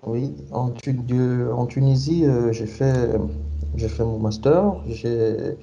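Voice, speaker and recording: A man's voice: mid-range voice, takes it slowly, vocal fry, dark tone, muffled recording. A man in his thirties from Cameroon, answering interview questions about his career, hesitant, with many fillers and restarts. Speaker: SAP consultant guest.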